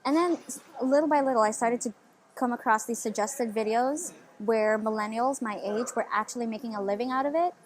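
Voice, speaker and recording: Chatty young woman, fairly high voice. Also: brisk. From Philippines. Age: 20s. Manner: eager, chatty, fillers like "like" and "you know". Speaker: interview guest. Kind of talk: casual conversational interview.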